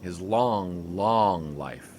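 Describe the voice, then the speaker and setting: An actor playing a sarcastic 1920s sports writer, a jilted lover who tosses off quick wisecracks and bits of French. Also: male voice, deep voice, darkish tone, a little hiss or hum. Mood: wry. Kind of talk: 1920s period audio drama.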